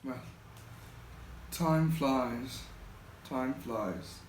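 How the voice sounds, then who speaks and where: A man's voice: mid-range voice, very slow. A young man in an amateur school play acting a reluctant, wary middle-aged army sergeant major. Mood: offhand. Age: young adult. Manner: reluctant, warning. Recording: echoey room, faint noise.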